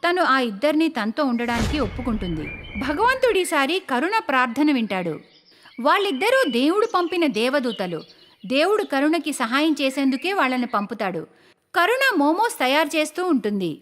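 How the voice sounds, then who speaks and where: Female story narrator for cartoons: high-pitched, very expressive melody, scripted delivery, faint background noise. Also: fairly quick.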